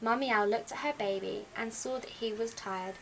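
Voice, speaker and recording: A woman reading a children's storybook aloud as an expressive storyteller. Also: on the high side, normal speed, creaky. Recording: faint background noise.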